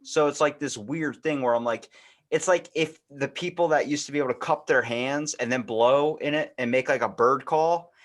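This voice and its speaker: A man's voice: medium pitch, moderate pace. An American man hosting a podcast, chatting casually in a talkative, rambling way. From United States. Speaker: podcast host. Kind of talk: casual chat.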